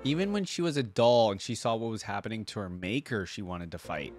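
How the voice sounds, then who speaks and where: A man's voice: lowish voice, expressive, a little fast, soft background music. A young man hosting a reaction video, chatting in an enthusiastic, slangy, rambling way. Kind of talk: casual chat, fan commentary.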